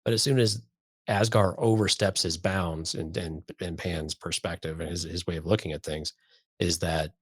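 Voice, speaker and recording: A man's voice: deep voice, steady tone, moderate pace, breathy edge, no echo, studio quality. A man co-hosting a podcast, giving his commentary on a story in casual conversation. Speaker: podcast co-host. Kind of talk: casual discussion commenting on an audio drama.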